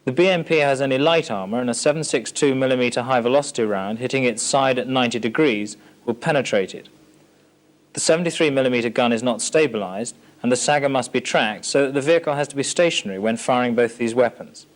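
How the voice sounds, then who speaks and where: A British man delivers scripted instructional narration for a military training film. His voice sits at a medium pitch. He speaks formally and matter-of-factly, setting out information in an orderly way. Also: fast talker. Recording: 1980s archive training film soundtrack.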